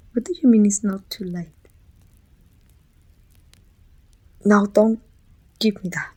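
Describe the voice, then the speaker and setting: A woman from Mexico playing a weary, bittersweet supervillain in an ASMR roleplay, breathy and often near a whisper, with slow, deliberate phrasing. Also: mid-range voice, a little mellow, low-quality recording. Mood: shocked, anguished. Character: weary, bittersweet female supervillain confronting a dying rival.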